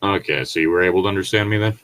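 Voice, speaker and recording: A man co-hosting a podcast, reading aloud with casual, joking commentary in a rambling, nitpicking way as he thinks out loud. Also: deep voice, racing. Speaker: podcast co-host.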